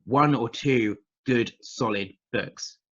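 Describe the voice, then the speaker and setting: A man's voice: medium pitch, slow, fairly bright tone, husky, dull audio. A man in his thirties with a southern English accent, chatting casually as an interview guest in a rambling, hesitant way. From England, near London. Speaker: interview guest, witchcraft practitioner.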